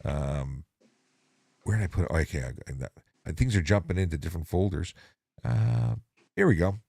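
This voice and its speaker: A middle-aged man hosting a casual traffic-policing livestream, talking in halting bursts with frequent restarts. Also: deep voice, gravelly, darkish tone, breathy edge, no echo. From Ontario.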